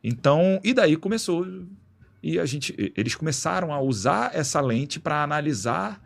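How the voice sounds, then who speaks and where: A man being interviewed as a guest in a radio studio, explaining in a relaxed, slangy way with many restarts. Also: fairly high pitch, normal speed, creaky. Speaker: guest, executive director of an institute.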